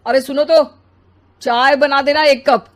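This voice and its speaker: A woman voicing a grumpy, demanding husband and father in an animated family story, complaining and dismissive. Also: sits high, a little slow.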